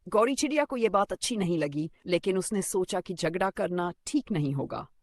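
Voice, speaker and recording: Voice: mid-range voice, lively intonation, normal speed, no room sound, studio quality. A narrator reading a scripted children's story.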